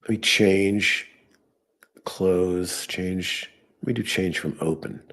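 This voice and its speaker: A deep, husky male voice commenting live on stock charts and trades. He is hoarse, as if losing his voice, and talks things through out loud while clicking around his screen. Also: slow. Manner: thinking aloud while working on screen. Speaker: trading show host.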